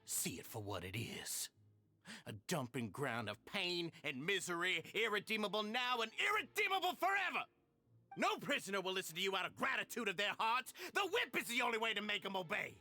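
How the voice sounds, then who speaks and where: A man's voice: high-pitched, big pitch swings, a little fast, sharp tone, soft background music, full character voice. A man playing an arrogant, cruel fortress official in a game, condescending and sneering.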